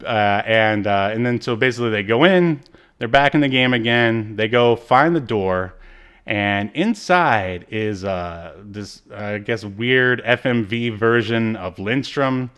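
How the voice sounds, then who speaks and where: A man's voice: fairly low pitch, animated, a little slow, a bit bright, clean tone. A man co-hosting a podcast, casually recapping in a hesitant, rambling way. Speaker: podcast co-host. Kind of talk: casual chat recapping a TV episode.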